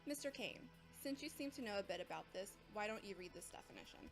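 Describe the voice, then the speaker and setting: A composed female instructor played by a woman lectures in an audio drama. She explains things patiently and with authority, in a measured delivery at a middling pitch. Music plays clearly behind her. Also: expressive, natural voice. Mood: instructive, composed. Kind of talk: scripted audio drama dialogue.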